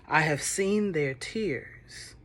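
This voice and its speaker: A woman voicing God speaking from a burning bush, slow and solemn. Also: sits low.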